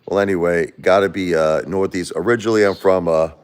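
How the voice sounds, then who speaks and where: A man's voice: low voice, fairly even intonation, fairly quick, clear, resonant tone. A man from Brooklyn, hosting a casual livestream, blunt and repeating himself as he answers viewers and spars with critics. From Brooklyn, New York. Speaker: livestream host. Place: home.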